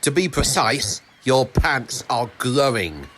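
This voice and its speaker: A man playing a precise, formal, deadpan research assistant in an audio drama. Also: mid-range voice, takes it slowly, slight background noise.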